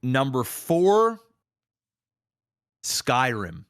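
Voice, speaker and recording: Casting: man, podcast host, medium pitch, opinionated and emphatic, slangy, sweary, casual delivery. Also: wildly swooping pitch, very slow, clear, resonant tone, dry recording, clean studio sound.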